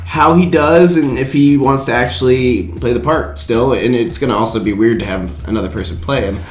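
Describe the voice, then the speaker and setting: A man co-hosting a casual movie news podcast, speaking haltingly and often trailing off. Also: mid-range voice, slight background noise. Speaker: podcast co-host. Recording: echoey room with music bed.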